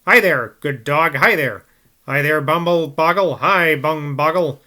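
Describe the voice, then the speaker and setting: A man's voice: on the high side, normal speed, pushed and bright. A man voicing a grumpy old rural man who growls in a thick country dialect. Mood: scolding. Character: grumpy old rustic man, ogre-like mutterer.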